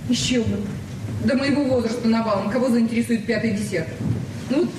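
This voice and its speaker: High-voiced girlish teenager played by an actress. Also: lightly acted. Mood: frank, dismissive. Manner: eager, halting, repeats words. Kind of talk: Soviet stage-trained radio play acting. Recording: echoey, noisy old 1970s radio recording, distant.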